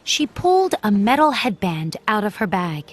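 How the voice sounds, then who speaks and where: A woman's voice: medium pitch, big pitch swings, moderate pace, husky. A woman reading scripted children's story narration as an audiobook narrator. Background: music.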